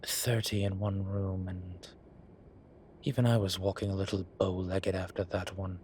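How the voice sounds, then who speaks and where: A man's voice: low voice, level tone, moderate pace, a little mellow, slight background noise, lightly acted. A man playing a vain, suave incubus demon who poses as a posh professor in an audio roleplay, with a wry, self-satisfied drawl. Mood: wry, teasing. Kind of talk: scripted audio roleplay acting. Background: ambient sound effects.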